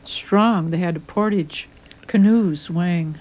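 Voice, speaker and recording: Lowish woman hosting radio chat. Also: takes it slowly, darkish tone. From Michigan. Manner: conversational, guides the talk. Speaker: radio host.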